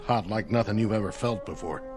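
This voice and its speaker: Male actor as selfish space crewman, low pitch, sardonic, confrontational, bitter, radio drama, music behind. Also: a little fast, close to an everyday voice. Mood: bitter, weary.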